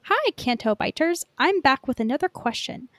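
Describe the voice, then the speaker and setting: A woman's voice: high-pitched, big pitch swings, moderate pace, a bit bright, no room sound, studio quality. A woman chatting casually as a podcast co-host, in informal speech peppered with "like". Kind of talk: casual fan podcast chat.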